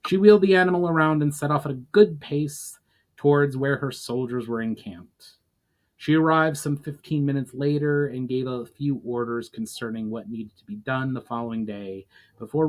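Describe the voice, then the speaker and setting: Male narrator, mid-range pitch, dramatic reading of epic fantasy prose. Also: rough recording. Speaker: author reading own fiction aloud. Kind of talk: dramatic audiobook-style reading of a fantasy story.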